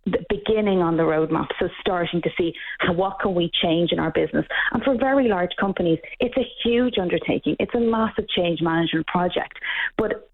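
An Irish woman answering interview questions earnestly and emphatically, often restarting her sentences. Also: on the low side. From Ireland. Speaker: guest expert. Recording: tinny phone-like line.

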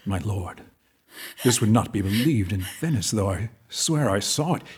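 A courtly, well-mannered nobleman envoy in a classical tragedy. His voice is very husky and breathy, formal in manner, with lively, expressive intonation. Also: on the low side, normal speed. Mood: shocked, imploring. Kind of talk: dramatic Shakespeare acting.

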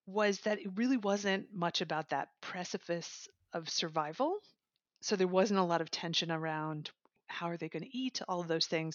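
A woman's voice: on the low side, no echo. Chatty woman with a dull, dry sound. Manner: enthusiastic, chatty, laughs while talking. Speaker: audiobook reviewer, guest. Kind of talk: casual chat summarizing a book.

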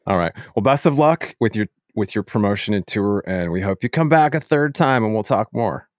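A man hosting a casual interview, speaking in a halting, appreciative way to his guest. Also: lowish voice, animated, no room sound, clean studio sound. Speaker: podcast host.

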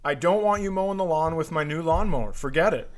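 A man's voice: a little high, fast talker. A man ranting casually for laughs, sarcastic, profane and irritated. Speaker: comedy podcast host.

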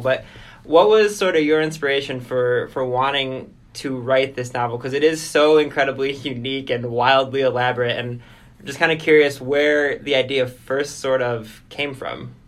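A man's voice: mid-range voice, a bit bright. A man hosting a podcast, casual and enthusiastic, introducing a guest and asking questions. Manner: upbeat, enthusiastic, quick asides. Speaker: podcast host.

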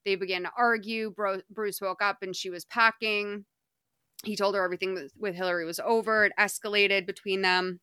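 A woman with a bright, crisp voice chats casually as a podcast co-host, rambling through her thoughts with lots of fillers. The sound is dry and close. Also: mid-range voice, a little fast, dry recording. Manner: rambling, filler-heavy, animated. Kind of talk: casual chat recapping a documentary.

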